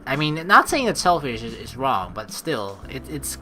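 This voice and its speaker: A man gives casual, rambling commentary on a game in a bright, mid-pitched voice. He thinks out loud in informal language, swearing now and then, with faint music playing behind him. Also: big pitch swings, moderate pace. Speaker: let's play commentator. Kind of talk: casual game commentary with reading of on-screen text.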